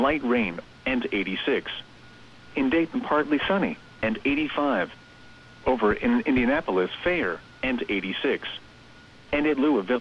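A synthetic male voice reading a scripted weather forecast on radio in a measured, impersonal way. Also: medium pitch. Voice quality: synthetic text-to-speech voice. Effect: radio transmission. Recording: muffled, hissy weather radio broadcast.

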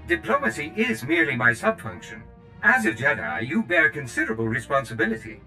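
Fussy, bright-voiced servant droid. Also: male voice, on the low side, animated, a little fast, soft background music, poor audio. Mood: eager, servile. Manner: formal, eager, obsequious. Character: fussy, servile protocol droid steward of a starship. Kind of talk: video game voice acting.

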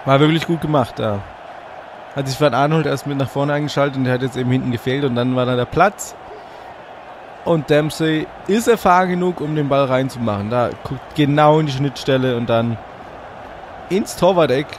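A man with a slight cold hosting let's play commentary on a football video game from a hot room at home, getting excitable and shouting at goals. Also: medium pitch, normal speed. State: a bit congested with a cold. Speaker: gaming channel host. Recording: audible fan noise.

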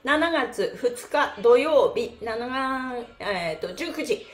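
Middle-aged female stretch class host: high, playful, polite and animated, recorded in a very roomy space. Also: slow pace. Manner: animated, cutesy polite instructor patter, often laughing. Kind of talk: live-streamed exercise class and announcements. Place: small indoor room with bare walls. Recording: roomy echo from camera mic.

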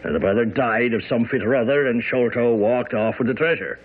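A man's voice: mid-range voice, fairly quick, natural voice. A man playing a smug, blustering police inspector in a radio drama, dismissive and hemming. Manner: dismissive, matter-of-fact, hemming. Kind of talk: old-time radio drama acting. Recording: narrow, tinny radio broadcast.

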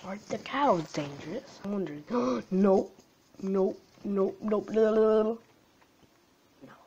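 Young gamer with a tired voice: slow, jumpy commentary, gasps and near-whispers, faint hiss. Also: female voice, medium pitch, highs cut off. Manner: jumpy, gasping, swings between loud alarm and hushed whispers. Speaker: gaming channel host. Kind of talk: live gameplay commentary. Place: at home late at night.

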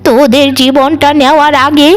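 A solemn old enchantress of the forest acted by a woman, with a high voice. She is a cartoon granny who is kindly to the good and stern to wrongdoers, and she speaks like one handing down spells. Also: flat delivery, normal speed, soft background music, lightly acted. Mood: stern, angry.

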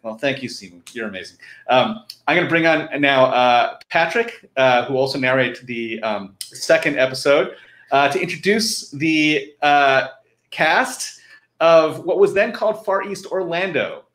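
A middle-aged man hosting an online fundraiser informally, with halting phrases and frequent restarts. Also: a little high, animated, a bit bright. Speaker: host of a live online fundraiser. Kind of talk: informal live stream hosting. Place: room at home, indoors. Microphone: computer video call. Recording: echoey room on video call.

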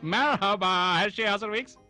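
A man voicing a kindly, excitable inventor professor in a dubbed cartoon, flustered and given to hesitant exclamations. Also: high-pitched, measured pace, faint music behind, exaggerated voice. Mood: proud, excited.

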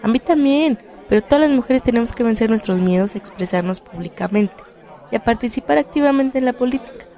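A woman's voice: fairly high pitch, expressive, a little fast, mellow, some chatter behind. A woman playing a determined, encouraging activist leader in a radio soap, firm and colloquial, with a Mexican accent. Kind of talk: radio soap opera acting.

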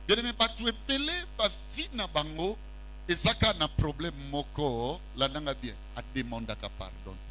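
A middle-aged preacher with a high, bright voice that swoops and climbs as he works the room. He keeps pulling answers from the congregation on a handheld mic. A faint hum runs under the recording. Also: animated, moderate pace, low-quality recording. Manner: call-and-response preaching, mixing French and Lingala; walks about the stage while preaching. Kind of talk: sermon. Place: large church hall with congregation.

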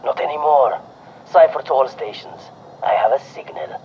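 An actor playing a sly, watchful surveillance spy in a video game, speaking with dramatic, wry phrasing over radio. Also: male voice, mid-range voice, normal speed, a little mellow. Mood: sly, focused. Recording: muffled, noisy radio transmission.

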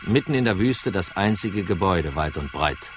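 An actor playing a gruff, weary hard-boiled insurance detective in a crime radio drama, dry and laconic. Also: male voice, low voice, expressive, moderate pace, over music. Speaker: narrator and lead actor.

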